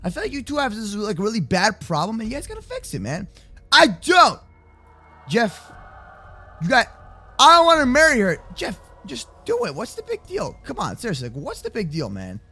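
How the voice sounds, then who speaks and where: A young adult man improvising kids' Minecraft roleplay commentary in an excitable, slangy way, often repeating his words. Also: high voice, normal speed, breathy edge, faint music behind. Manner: slangy, addresses partner as bro and man. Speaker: gaming video host and roleplayer.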